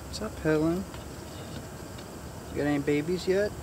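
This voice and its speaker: A man casually showing viewers around his backyard garden outdoors, chatty and relaxed, joking with his animals. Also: on the high side, normal speed, noisy background. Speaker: gardener giving a backyard tour. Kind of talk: casual walk-through narration.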